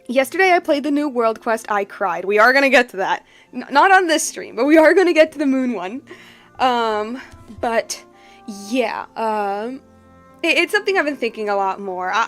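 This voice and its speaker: A woman's voice: on the high side, fairly bright tone. A woman hosting a game livestream in casual chat, rambling informally and circling back on her phrases. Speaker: game streamer, host.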